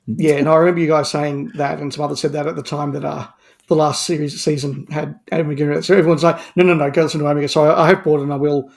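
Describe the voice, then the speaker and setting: A man with a fairly high-pitched voice talks as a guest on a review podcast. His delivery is conversational and informal. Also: level tone, rapid-fire, creaky. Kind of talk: casual review chat.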